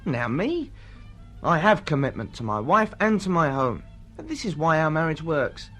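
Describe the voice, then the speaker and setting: Self-satisfied male bore, pompous, tactless, animated intonation, radio drama, faint music behind. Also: on the high side, normal speed. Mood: smug, lecturing. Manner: pompous, jargon-laden, lecturing.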